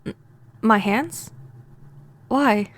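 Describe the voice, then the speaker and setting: A woman playing a gentle, apologetic vampire neighbor in a soft-spoken, hesitant ASMR audio roleplay. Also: fairly high pitch, extremely slow. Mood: bashful, cozy. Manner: hesitant, stammering, earnest.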